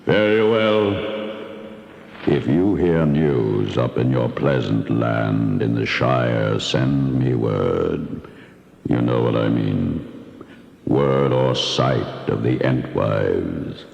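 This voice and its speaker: A very deep, creaky ancient tree giant. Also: male voice, darkish tone, full character voice. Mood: slow, fond. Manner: ponderous, drawn-out, half-chanting. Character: ancient male tree giant, slow, gentle, solemn. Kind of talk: fantasy radio drama acting. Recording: dull sound with long echoing reverb.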